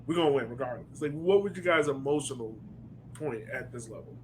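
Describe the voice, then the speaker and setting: A man's voice: medium pitch, fairly quick, slight background noise. A man hosting a casual sports podcast chat, putting questions to his guests in informal speech. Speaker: podcast host.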